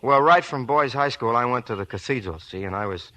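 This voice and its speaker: A man's voice: mid-range voice, expressive, a little fast, pushed and bright, low-quality recording. An actor playing a shy young male sailor from Brooklyn in a 1940s radio play, timid and hesitant. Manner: timid, hesitant, eager to please. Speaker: lead actor. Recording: old 1940s radio broadcast.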